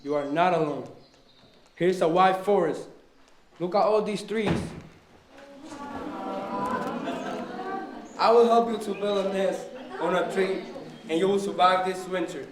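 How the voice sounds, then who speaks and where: A man's voice: high-pitched, normal speed, a crowd in the background, close to an everyday voice. A man playing a kindly old forest king who tells stories in a puppet show. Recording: very echoey room, distant mic, faint noise.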